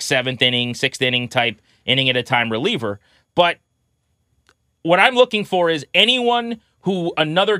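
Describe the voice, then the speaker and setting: Man, forceful bright voice, very dry sound, explanatory, methodical, conversational baseball analysis. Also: on the high side, dry recording. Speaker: sports podcast co-host.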